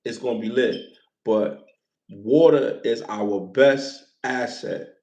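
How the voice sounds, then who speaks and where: A man's voice: mid-range voice, takes it slowly, darkish tone, reverberant, low-quality recording. A man in his forties hosting a casual livestream, loose and playful, repeating himself and thanking viewers for gifts. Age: 40s. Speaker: livestream host.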